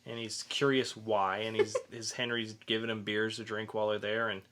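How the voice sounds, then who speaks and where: A man's voice: fairly low pitch, little pitch movement, moderate pace, a bit bright, a little hiss or hum. A man hosting a podcast, casually reviewing in an informal, rambling way with some swearing. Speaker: podcast host and comic reviewer.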